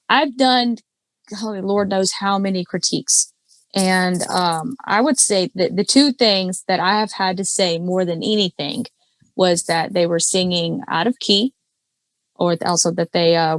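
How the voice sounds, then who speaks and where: A woman's voice: steady tone, normal speed, dry recording. A woman, chatting casually as a podcast guest and explaining singing with lots of fillers and restarts. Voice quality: low alto voice. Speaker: podcast guest, karaoke singer and judge. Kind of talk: casual chat.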